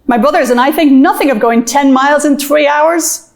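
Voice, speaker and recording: A woman voicing a brash, confident teenage schoolgirl who talks in a brisk, cocky way. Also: high-pitched, creaky, pitched above the performer's own voice.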